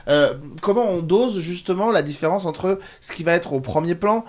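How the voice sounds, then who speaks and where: A man's voice: sits high, moderate pace. A man hosting an interview, presenting and asking questions in a casual conversational style. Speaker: interview host.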